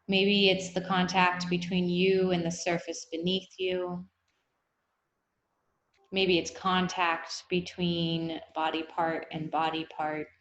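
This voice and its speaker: A woman guiding a meditation, speaking in an unhurried, gently instructive way with long pauses. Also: fairly low pitch, flat delivery, dull audio, slight background noise. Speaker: meditation guide. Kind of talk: guided meditation, body scan. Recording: echoey room.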